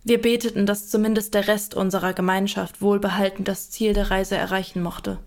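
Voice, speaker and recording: An actress playing a medieval woman, quietly and sorrowfully narrating a long journey in a radio drama. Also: mid-range voice, flat delivery, a little fast, natural voice.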